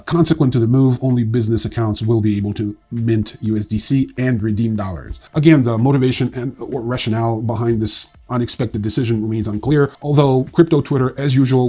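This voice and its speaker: Husky guy doing offhand news host stuff, kinda dark mellow voice, lots of uhs. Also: on the low side. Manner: offhand, wry, hesitant delivery with frequent uhs. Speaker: newsreel host. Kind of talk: casual crypto news commentary, partly read.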